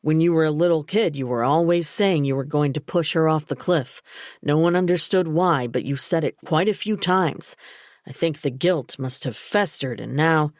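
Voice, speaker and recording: A woman doing a calm, dismissive man. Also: low voice, moderate pace, no room sound, clean studio sound, close to an everyday voice. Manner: flat, firm, steady.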